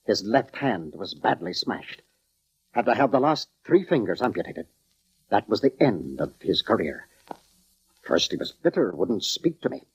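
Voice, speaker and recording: A man's voice: lowish voice, big pitch swings, normal speed, breathy edge, exaggerated voice. An actor playing a weary, courteous male concert musician with formal, theatrical phrasing in a 1940s radio drama. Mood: weary, reflective. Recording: dull, muffled vintage radio recording.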